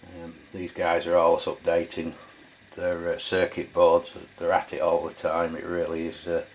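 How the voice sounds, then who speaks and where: A man's voice: sits low, monotone, normal speed, slight background noise, poor audio. A middle-aged man giving a casual, matter-of-fact hands-on product review. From England. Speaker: radio review channel host.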